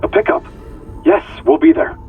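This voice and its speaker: A man's voice: moderate pace, soft background music, lightly acted. An actor playing a haunted, earnest male scientist in a sci-fi horror game, fervent and troubled. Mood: urgent. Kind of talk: video game voice acting. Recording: muffled radio transmission.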